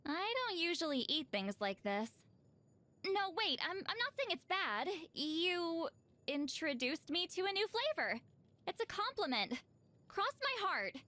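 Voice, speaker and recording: A woman's voice: extremely high pitch, big pitch swings, unhurried, bright, forceful tone, full character voice. A woman voicing a reclusive video game shaman, dry and weary yet easily flustered and defensive. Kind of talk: video game character voice lines.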